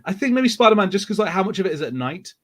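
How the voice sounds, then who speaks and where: A man's voice: high voice, big pitch swings, rapid-fire. A man co-hosting a podcast, explaining his opinions in casual, conversational speech. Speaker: podcast co-host. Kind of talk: casual chat.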